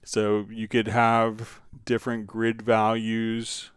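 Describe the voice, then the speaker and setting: Male tutorial host, lowish pitch, slow delivery, halting, thinking aloud, dry recording. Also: completely flat delivery, clear, resonant tone, no echo. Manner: hesitant, halting while demonstrating. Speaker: livestream host and software instructor.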